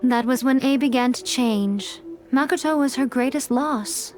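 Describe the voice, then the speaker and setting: A woman playing a sly, wise fox-spirit shrine maiden in a video game, speaking in a composed, formal, gently teasing way. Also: high voice, unhurried, soft background music. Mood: composed, explanatory. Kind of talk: scripted video game dialogue.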